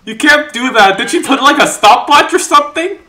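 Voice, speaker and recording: A man's voice: extremely high pitch, animated, a little fast, pushed and bright, slight background noise. A young man giving casual, joking reaction commentary as a video host in a small home room. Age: young adult. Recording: echoey room sound.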